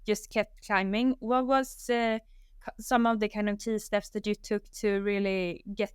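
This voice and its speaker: Woman, podcast host, very clear smooth voice, dry sound, casual hedging talk. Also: mid-range voice, level tone, unhurried, no echo. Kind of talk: podcast interview.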